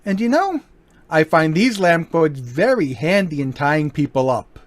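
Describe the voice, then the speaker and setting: An actor in an amateur old-time radio play, voicing a smooth, mocking gentleman burglar with a lively, swinging delivery. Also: fairly high pitch, measured pace. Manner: smooth, mocking, turns menacing. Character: suave, cocky male gentleman burglar.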